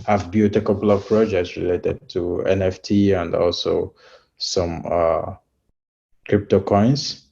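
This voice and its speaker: A man, a software engineer, introducing himself on a video call in a halting way with many fillers. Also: deep voice, muffled recording. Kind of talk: casual chat on a video call.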